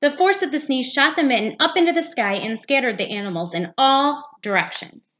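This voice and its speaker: A woman's voice: sits high, lively intonation, a little fast, fairly bright tone. A woman, a teacher, reading a picture book aloud for young children. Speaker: second-grade teacher.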